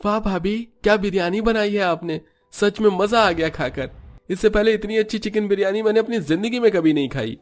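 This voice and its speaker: A man's voice: sits high, a little fast, voice pushed up, close to an everyday voice. A man voicing a greedy, cheerful young brother-in-law in a Hindi cartoon story, gushing and wheedling. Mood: delighted.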